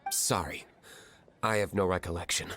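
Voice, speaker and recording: An actor playing a stern, aloof young tavern owner in a video game, speaking curtly and coldly. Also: male voice, low-pitched, moderate pace, a bit bright, a little hiss or hum. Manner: curt, cold, clipped.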